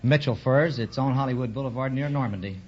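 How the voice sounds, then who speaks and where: A man's voice: medium pitch, quick pace. A man with an American accent, a quiz contestant giving plain answers to a host on an old radio broadcast. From United States. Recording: muffled old radio broadcast, faint hiss.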